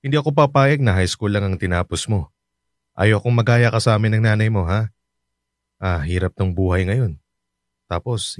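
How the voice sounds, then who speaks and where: A man playing a hardworking fifty-year-old taxi-driver father in a radio drama, speaking gently and reassuringly. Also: low voice, no echo, clean studio sound, lightly acted.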